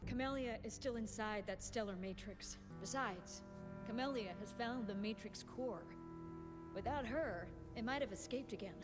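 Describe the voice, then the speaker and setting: Woman as high-voiced, calm game guide. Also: moderate pace, lightly acted. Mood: calm, instructive. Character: calm, knowledgeable young female guide, businesslike. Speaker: video game guide character. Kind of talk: scripted game exposition. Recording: game music behind the voice.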